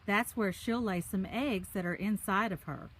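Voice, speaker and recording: A woman's voice: mid-range voice, normal speed, slight background noise. A woman reading a picture book aloud to children, speaking to them directly in simple, chatty asides. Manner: addresses young listeners directly in simple, encouraging asides. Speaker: children's story reader. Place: outdoors on a park trail.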